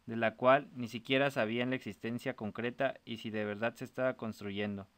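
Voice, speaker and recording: A man's voice: mid-range voice, fairly even intonation, a little fast, fairly bright tone, dry recording. A man narrating a scripted short story as a storyteller.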